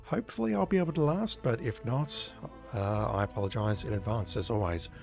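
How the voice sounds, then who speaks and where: A man with a croaky voice from illness, chatting casually as he streams a game. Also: medium pitch, lively intonation, fairly quick, faint music behind. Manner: rambling, chatty, apologetic. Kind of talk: casual gameplay commentary.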